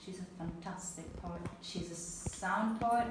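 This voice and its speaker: A woman with an Italian accent giving an earnest, animated personal talk to an audience, then answering questions. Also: on the low side, a little slow. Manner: earnest, animated, laughs easily. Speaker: speaker at a talk. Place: event room with an audience. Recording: echoey room, mic at a distance, faint noise.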